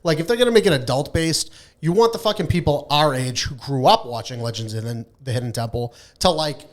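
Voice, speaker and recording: A man's voice: a little high, a little fast. A man co-hosting a podcast in casual, irreverent chat, brash and emphatic, swearing freely. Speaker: podcast co-host.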